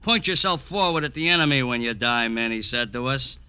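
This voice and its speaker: A bitter veteran in an old radio crime drama. The voice is very bright, hard and forceful, with a taunting, sardonic delivery that sneers with cruelty. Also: male voice, medium pitch, animated, brisk, smooth. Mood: bitter, mocking.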